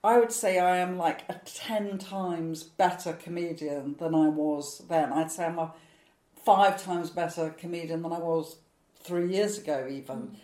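A woman's voice: lowish voice, a little slow, mellow, roomy sound. A woman in her sixties, a stand-up comedian, answering interview questions in a chatty, rambling, self-mocking way with frequent laughs. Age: 60s.

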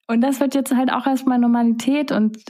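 A young German-speaking woman in her twenties talks through her thoughts in a relaxed, mellow voice. Her pitch is on the higher side but stays fairly flat, and she pauses often with fillers as she muses. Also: a little hiss or hum. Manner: relaxed, musing, many fillers. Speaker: singer-songwriter guest. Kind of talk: casual interview talk.

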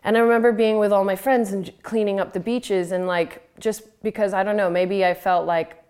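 A woman's voice: mid-range voice, steady tone, a little fast, darkish tone, clear, resonant tone. A woman in her twenties from Thailand, a podcast guest, talking in a rambling casual way with many fillers and offhand swearing. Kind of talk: casual interview.

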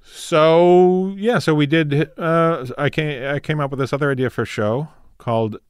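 A man's voice: fairly high pitch, fairly even intonation, normal speed, smooth, no room sound, clean studio sound. A middle-aged man from rural Saskatchewan with a Canadian prairie accent. He is a comedian chatting casually as a guest, rambling and correcting himself as he goes. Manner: rambling, self-correcting, laughs easily. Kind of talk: casual interview chat.

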